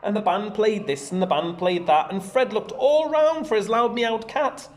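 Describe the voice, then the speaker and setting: A man, a primary teacher, reading a storybook aloud in an expressive sing-song way with chatty asides. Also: high voice, normal speed, soft background music. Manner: animated, sing-song rhyming read-aloud.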